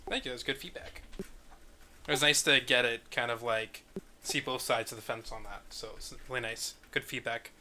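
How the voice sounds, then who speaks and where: A man's voice: medium pitch, normal speed, bright, forceful tone. A man speaking casually in a group discussion. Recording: faint background noise, clicks.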